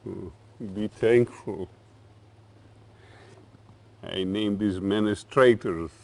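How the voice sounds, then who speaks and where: An actor playing a menacing, paranoid middle-aged Soviet dictator, theatrical and commanding, in a game cutscene. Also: male voice, fairly low pitch, fairly even intonation, creaky, darkish tone, exaggerated voice. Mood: brooding, grandiose. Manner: theatrical, slow, deliberate, commanding. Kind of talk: dramatic game cutscene acting.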